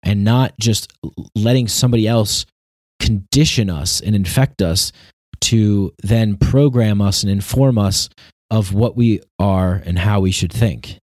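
Male commentator, lowish pitch, dry close sound; earnest off-the-cuff opinion talk with halting, repeated phrases. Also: darkish tone, no room sound. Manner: halting, repetitive, searching for words. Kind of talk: unscripted opinion monologue.

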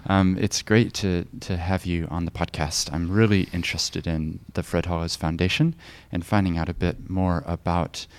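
A man hosting a podcast, reading a scripted introduction and then asking gentle, hesitant questions. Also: low-pitched, steady tone, moderate pace, few pauses. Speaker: podcast host.

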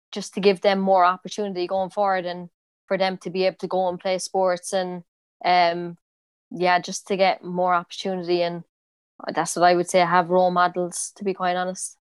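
Brief: twenties woman, Cork accent, flat even delivery, smooth voice, dry close sound, easygoing interviewee with fillers. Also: medium pitch, no echo. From Ireland. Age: 20s. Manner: relaxed, many fillers and restarts. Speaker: interviewee, footballer. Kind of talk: casual interview.

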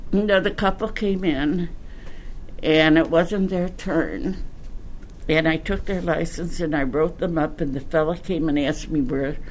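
Slow-talking older woman, laughing and chatty. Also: deep voice, slight background noise, poor audio. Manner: chatty, laughs often, repeats words. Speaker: county clerk. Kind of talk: interview recollection.

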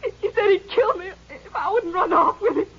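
A woman's voice: very high-pitched, expressive, fairly quick, dull audio. An actress playing a scheming young woman who fakes fright and tears, stammering in 1950s radio drama style on an old broadcast. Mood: sobbing. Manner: breathy, fake sobbing, stammering.

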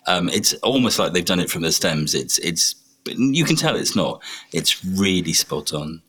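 A man's voice: low voice, expressive, unhurried, pushed and bright, breathy edge. A man hosting a casual chat, introducing segments with a wry, laughing manner. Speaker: podcast host.

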